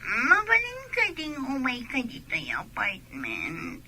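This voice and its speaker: High-voiced scheming seductive woman. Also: wildly swooping pitch, slow, music in the background, exaggerated voice. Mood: tearful pleading. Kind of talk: Filipino radio drama acting. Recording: radio drama with audible background noise.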